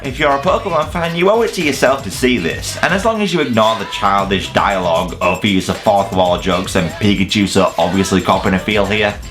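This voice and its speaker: Animated, low-pitched man reviewing films. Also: brisk, hardly any pauses, over music. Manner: sarcastic, casually sweary. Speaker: host of a film countdown video. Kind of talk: scripted comedic review commentary.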